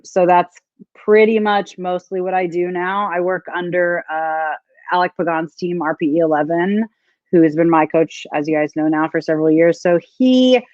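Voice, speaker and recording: A woman's voice: fairly low pitch, a little mellow, dry recording, lo-fi sound. A woman, a strongwoman and nutrition coach, talking thoughtfully with many fillers on a video call. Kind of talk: casual video call interview.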